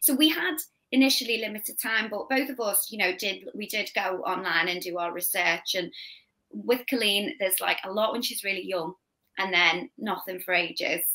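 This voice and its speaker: A woman's voice: medium pitch, pushed and bright, rough recording. A young woman with a Scouse accent, an actress guest chatting about her role on a video call. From Liverpool. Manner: chatty, quick restarts, laughing asides. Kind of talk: casual interview chat. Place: home room, video call.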